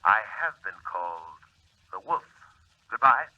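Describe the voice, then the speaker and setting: An actor voicing a menacing villain heard over a telephone in a 1940 radio drama. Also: male voice, on the low side, big pitch swings, unhurried, voice pushed down. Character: menacing male villain on a phone. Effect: telephone filter. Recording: muffled phone line, radio hiss.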